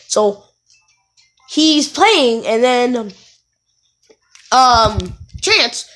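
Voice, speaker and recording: High-voiced woman telling rambling casual stories. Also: poor audio. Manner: animated, digressive, self-correcting. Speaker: video creator telling stories. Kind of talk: casual rambling storytelling to viewers.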